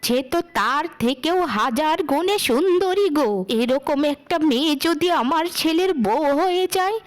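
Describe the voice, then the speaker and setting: A woman's voice: sits high, animated, moderate pace. A woman playing an effusive, bossy older village matriarch in a children's cartoon. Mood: gushing delight. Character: gushing older village matriarch, groom's mother.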